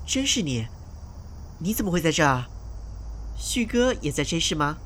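A man's voice: on the high side, sing-song, moderate pace, fairly bright tone, a little hiss or hum. A man playing a naive, eager young newcomer actor in a Chinese audio drama, sounding youthful and tentative. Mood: surprised.

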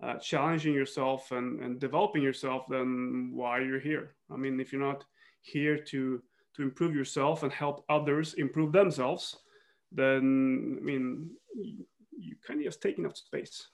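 Man, interview guest; gravelly, measured, searching for words. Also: medium pitch, steady tone. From northern Sweden. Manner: thoughtful, many restarts and fillers, laughs often. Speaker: guest, football coach. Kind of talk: reflective interview talk.

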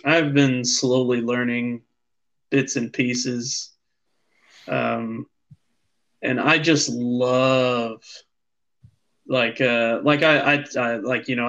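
A young man co-hosting a laid-back podcast, speaking slowly at an ordinary middle pitch. He hesitates often, restarting sentences and filling gaps with "um" and "like". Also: a bit bright, muffled recording. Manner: halting, many fillers and restarts. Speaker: podcast co-host. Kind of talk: casual chat.

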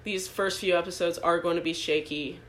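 A woman's voice: lowish voice, fairly even intonation, fairly quick. Giggly young woman co-hosting a podcast. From Ohio, US. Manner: playful, laughs often. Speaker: podcast co-host. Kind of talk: casual chat. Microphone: game controller, no microphone.

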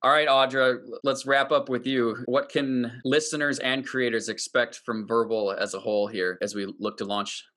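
A man's voice: medium pitch, fairly even intonation, moderate pace, hardly any pauses, pushed and bright. A man hosting a podcast, interviewing guests in a casual, conversational way. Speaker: podcast host.

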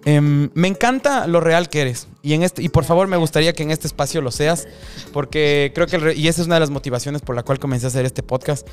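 A man's voice: on the high side, fast, faint music behind. A man from Ecuador, hosting a podcast interview in a candid, talkative, reflective way. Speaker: podcast host. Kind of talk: casual interview chat.

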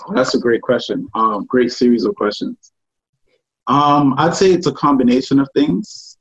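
A relaxed man with a husky, slightly rough voice answers questions on a podcast. He pauses often with "um" as he thinks. The audio is dull and lo-fi. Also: medium pitch. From born in Ghana, raised in Toronto. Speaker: guest, artist. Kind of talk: podcast interview.

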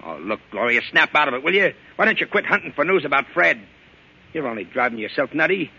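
An actor playing a rough, slangy, lovesick henchman in a 1940s radio crime drama, plain-spoken and pleading. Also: a little high, sing-song, fast talker, sharp tone, full character voice. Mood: exasperated. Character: rough-talking lovesick henchman, loyal but exasperated. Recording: muffled, hissy old radio recording.

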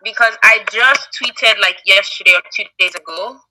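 A woman's voice: medium pitch, normal speed, sharp tone, rough recording. A woman who is a guest on a video call, chatting casually, friendly and direct, explaining points with emphasis. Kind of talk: casual chat.

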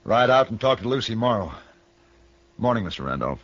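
An American man playing a grave, courteous gunfighter for hire in a radio western, speaking in a measured, brooding way. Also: lowish voice, sing-song, heavy background noise. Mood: businesslike. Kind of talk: 1950s radio western acting. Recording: muffled 1960 radio broadcast.

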